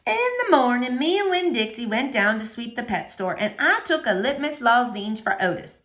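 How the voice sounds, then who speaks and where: A woman teacher reading a storybook aloud to children, with expressive, animated storytelling. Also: a little high, normal speed.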